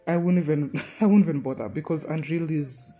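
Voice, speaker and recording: A woman with a Kenyan accent, a gaming YouTuber giving a casual, rambling voiceover with soft laughs and hesitations. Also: low voice, brisk, faint music behind. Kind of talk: casual gameplay voiceover commentary.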